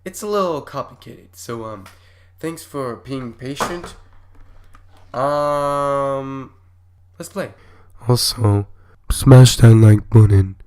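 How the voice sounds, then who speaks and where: A young man hosting a casual reaction vlog while sick with a cough and stuffy nose, given to loud, excitable outbursts. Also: mid-range voice, slow pace, long pauses, background noise. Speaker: YouTube host reacting to videos.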